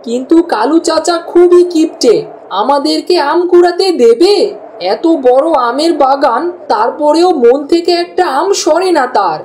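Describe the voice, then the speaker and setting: High-voiced sensible village boy acted by a woman. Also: expressive, a little slow, darkish tone, a little hiss or hum, low-quality recording. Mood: doubtful.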